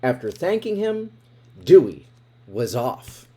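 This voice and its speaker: A man reading a children's picture book aloud in an expressive way, with dramatic emphasis. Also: medium pitch, slow, breathy edge. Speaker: storybook reader.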